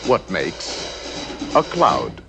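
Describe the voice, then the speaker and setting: A man's voice: sits low, extremely slow, vocal fry. A man giving playful, theatrical scripted narration in the manner of a 1950s educational film. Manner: theatrical, expressive phrasing. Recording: old film soundtrack under loud music. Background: loud musical score.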